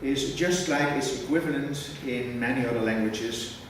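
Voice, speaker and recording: Man, formal conference lecture, measured prepared reading, distant mic, big reverberant hall, audible background noise. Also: mid-range voice. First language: Dutch. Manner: measured, reads a prepared text, precise wording. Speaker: academic lecturer. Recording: echoey hall from far off with noise.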